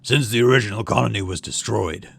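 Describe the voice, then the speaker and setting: A man's voice: lowish voice, fairly quick, vocal fry, slightly rough. A man voicing a grave, firm male security chief addressing recruits in a sci-fi visual novel. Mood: solemn. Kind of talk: voiced visual novel dialogue.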